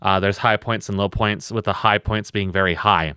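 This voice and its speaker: A tired man with a loud voice, co-hosting a podcast in a blunt, rambling, swearing chat. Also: on the low side, fairly even intonation, normal speed, clear, resonant tone, no room sound, studio quality. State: very tired from lack of sleep. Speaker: podcast co-host.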